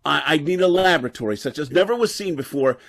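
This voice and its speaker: A man's voice: a little high, lively intonation, fast talker, vocal fry. A man playing an anxious, guilt-ridden professor who stammers hesitantly, in an old-time radio drama. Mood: anxious, doubtful. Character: nervous, guilt-ridden male professor, reluctant rogue surgeon.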